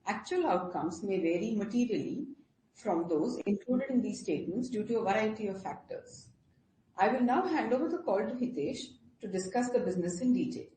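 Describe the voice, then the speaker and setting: A woman's voice: mid-range voice, animated, a little fast, dark tone. A woman reading a formal scripted statement as moderator of a corporate earnings call. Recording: roomy echo, faint background noise.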